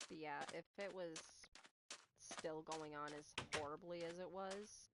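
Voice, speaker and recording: A woman's voice: low-pitched, fairly even intonation, measured pace, very noisy. A young woman who grew up speaking Spanish chats casually while playing a game. She has a stutter and rambles, switching between talking to her viewers and reacting to the game. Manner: rambling, talks to chat and to the game at once. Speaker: streamer. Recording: game music playing behind.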